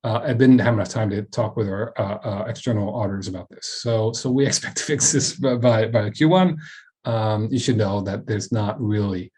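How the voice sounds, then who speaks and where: A man's voice: on the low side, moderate pace, a little mellow, husky. A man speaking as a company chairman on a web earnings call, giving a semi-scripted business presentation and often repeating words and restarting.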